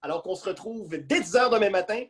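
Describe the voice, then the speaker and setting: Casting: male event host, Quebec French accent, lively swooping intonation, conversational interviewer. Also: high voice, brisk. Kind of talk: casual online hosting. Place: video call.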